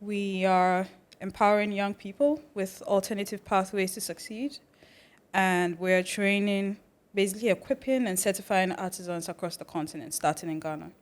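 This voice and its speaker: A woman from the Netherlands, a podcast guest telling about her life in a relaxed, measured way. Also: medium pitch. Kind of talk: casual interview chat.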